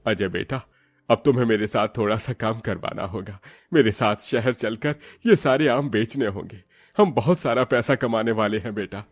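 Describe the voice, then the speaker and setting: A man playing a humble, devout middle-aged farmer and father in an animated moral story, speaking earnestly with exclamations. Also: fairly low pitch, moderate pace, dry recording, lightly acted. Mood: happy, hopeful. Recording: faint music behind.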